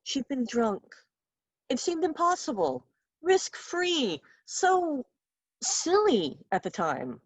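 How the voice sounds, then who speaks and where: A woman reading her own short story aloud in an expressive, wry and dramatic storytelling manner. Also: sits high, slow pace, no room sound.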